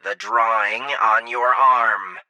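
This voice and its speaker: A man voicing a menacing alien military commander in a sci-fi audiobook, demanding and deliberate, with a threatening growl. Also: medium pitch, steady tone, sharp tone, dry recording. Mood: deadly serious.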